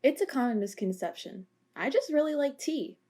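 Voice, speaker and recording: A woman playing a deadpan, laid-back officer. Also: sits high, normal speed. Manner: deadpan, calm.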